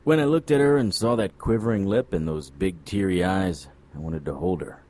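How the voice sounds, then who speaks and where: Noir audio drama: male actor as jaded occult investigator, low-pitched, wry, hard-boiled and sardonic. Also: moderate pace, darkish tone, natural voice. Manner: dry, wry, world-weary. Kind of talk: noir audio drama acting with first-person narration.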